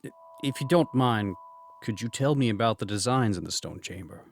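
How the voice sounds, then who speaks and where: Man playing a gravelly, musing ex-professor. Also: on the low side, lively intonation, moderate pace, slight background noise. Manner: earnest, musing inner monologue. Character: obsessive male former archaeology professor. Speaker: voice actor in a fan manga dub. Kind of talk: fan manga dub narration and dialogue. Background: music.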